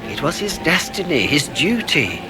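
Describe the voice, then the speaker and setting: A man's voice: fairly high pitch, very animated, moderate pace, poor audio. An actor playing a weary, grave older nobleman in a video game. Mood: rueful. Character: older male nobleman, uncle of a grand duke, weary and grave. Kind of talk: video game dialogue acting. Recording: game audio under loud music. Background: loud music.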